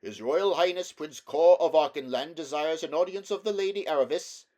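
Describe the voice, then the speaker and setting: A man voicing a formal royal herald in a storybook, making loud, ceremonious proclamations. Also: on the high side, moderate pace, voice pushed up, natural voice. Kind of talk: storybook character voice.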